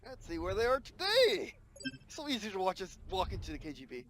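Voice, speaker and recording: A man commenting on his own strategy game playthrough, excitable and jokey, talking back to the game. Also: high voice, brisk. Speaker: gamer playing a strategy game. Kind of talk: let's play commentary.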